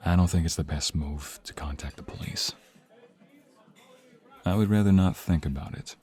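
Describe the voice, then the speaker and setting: A low, slightly rough-edged voice of a man acting out a protective young mobster in a romantic audio drama. He speaks intimately and earnestly to his lover, with menace ready for anyone who threatens them. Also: darkish tone, heavily acted.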